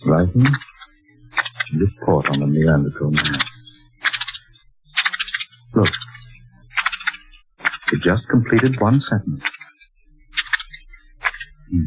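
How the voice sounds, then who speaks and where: An actor playing a grim, terse investigator in a 1940s radio drama, speaking in clipped, solemn phrases. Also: male voice, low-pitched, animated, extremely slow, long pauses, full character voice. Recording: muffled noisy 1940s radio transcription.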